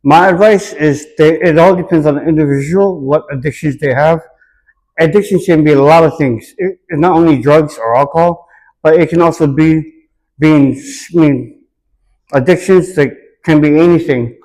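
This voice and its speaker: A man with a slightly high voice gives reflective interview answers. He speaks earnestly and deliberately, often echoing a question before he answers it. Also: a little mellow. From Hawaii, United States.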